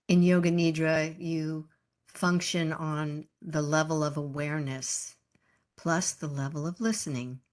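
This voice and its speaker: A woman leading a guided meditation as a yoga teacher, speaking calmly and gently in unhurried phrases with pauses. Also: low voice.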